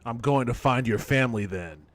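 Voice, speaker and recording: A man's voice: medium pitch, moderate pace, noisy background. A man streaming a game with casual, improvised commentary, provocative and mock-serious, with some swearing. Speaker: streamer playing an AI game demo.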